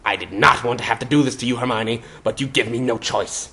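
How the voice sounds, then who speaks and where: A man's voice: mid-range voice, fairly quick, sharp tone. A man voicing a crass, smug male enchanted hat with a theatrical, coaxing, pompous manner, in a dramatic story reading. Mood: smug, menacing.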